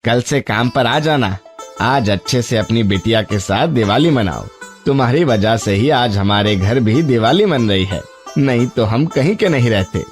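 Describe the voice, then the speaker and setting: An actor voicing a wealthy merchant and father in an animated moral story, with an earnest, emotive delivery. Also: medium pitch, normal speed, faint music behind, natural voice. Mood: kindly, apologetic. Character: wealthy merchant, worried father, grateful employer.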